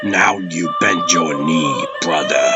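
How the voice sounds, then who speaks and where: Very deep, slow, solemn old warrior. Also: male voice, highs cut off, loud music behind the voice. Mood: solemn, commanding. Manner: grave, archaic, commanding oratory. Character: old, solemn warrior Thor, stern elder lawman. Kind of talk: theatrical comic dub acting.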